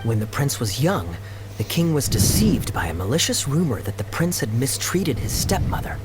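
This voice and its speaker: An actor voicing a calm, courteous, learned man in a video game, speaking in a measured, articulate way. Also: mid-range voice, loud music behind the voice, lightly acted. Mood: calm, explanatory. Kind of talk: storytelling video-game dialogue. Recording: noisy background ambience behind game dialogue.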